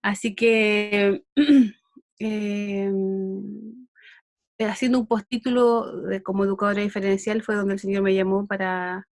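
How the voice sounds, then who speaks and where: A woman's voice: mid-range voice, steady tone, darkish tone, dry recording. Chilean woman teaching a Bible lesson. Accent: Chilean Spanish. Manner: unhurried, with frequent fillers and restarts. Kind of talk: online Bible lesson with opening prayer.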